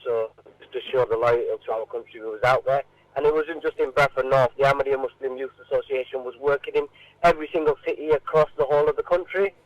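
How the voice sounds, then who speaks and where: A man answering informally in a radio interview, halting and often repeating his words. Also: medium pitch, steady tone, dull audio.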